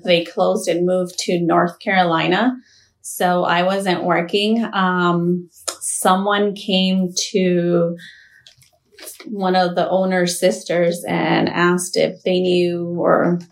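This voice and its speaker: A woman from Mexico who works in insurance, answering interview questions in an unhurried, plain way. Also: lowish voice. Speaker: insurance staff member.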